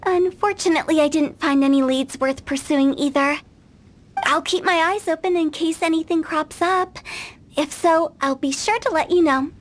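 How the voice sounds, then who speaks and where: Game role: actress as playful young female undertaker, teasing, very high voice, faint ambience behind. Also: moderate pace, slight background noise, lightly acted. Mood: coy. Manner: teasing, mischievous, darkly cheerful. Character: playful young female funeral parlor director. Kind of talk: video game voice acting.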